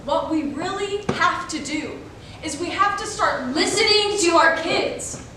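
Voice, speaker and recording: Slow, high-voiced young woman performing, heavy echo. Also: bright, forceful tone. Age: young adult. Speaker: college speech competitor. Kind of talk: dramatic readers theater monologue. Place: indoor performance room, recorded from afar. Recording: very echoey room, distant mic, noisy.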